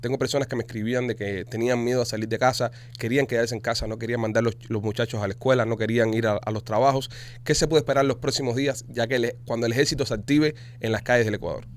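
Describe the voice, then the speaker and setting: A man with a Cuban Spanish accent hosting a podcast, chatting casually and reading sponsor ads in a quick, salesy way. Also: mid-range voice, fairly even intonation. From Cuba. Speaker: podcast host. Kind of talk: casual podcast talk with ad reads.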